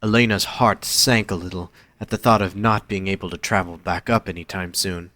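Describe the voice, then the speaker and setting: A man's voice: sits low, steady tone, fairly quick, pushed and bright, no room sound. A man reading scripted story narration as the narrator of an audio drama.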